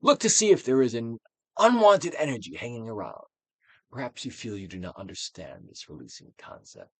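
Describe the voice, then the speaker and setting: A man's voice: very animated, a little fast, breathy edge, no room sound. Theatrical man reading with sweeping, dramatic range. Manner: theatrical Shakespearean delivery, swinging from hushed to booming. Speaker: audiobook reader. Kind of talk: dramatic theatrical reading of a self-help book.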